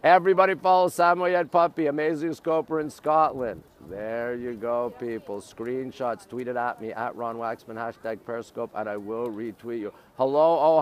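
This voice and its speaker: A middle-aged man from Montreal with a North American accent, chatting casually as a live-stream host in short, repetitive, exclamatory bursts. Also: mid-range voice. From Montreal, Canada. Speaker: live-stream host showing city sights. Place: outdoors on an urban riverside.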